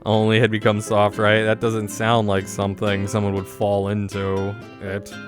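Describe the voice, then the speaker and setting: A man's voice: on the low side, monotone, normal speed, soft background music, lightly acted. A man voicing a reserved, bookish teenage schoolgirl from a visual novel, dry and reluctant.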